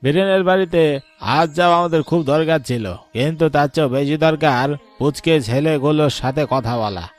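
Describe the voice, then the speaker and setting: A man voicing a grave, worried older village elder in a cartoon story, measured and authoritative. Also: on the high side, faint music behind, close to an everyday voice. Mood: grave, questioning.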